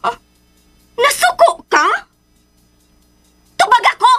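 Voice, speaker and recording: A woman's voice: very high-pitched, big pitch swings, takes it slowly, over music, exaggerated voice. A woman playing a jealous, spiteful and haughty young wife in a radio drama, snappish and imperious, with a Cebuano accent. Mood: irritated, demanding. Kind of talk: Philippine radio drama acting.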